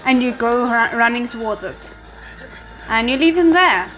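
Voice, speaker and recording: A woman's voice: on the high side, expressive, normal speed, a bit bright, soft background music, low-quality recording. A woman hosting a reaction video, commenting casually and thinking aloud in a hesitant way.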